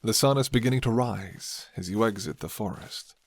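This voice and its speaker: A man's voice: lowish voice, normal speed. A man reading a scripted second-person fantasy romance as narrator of an ASMR roleplay.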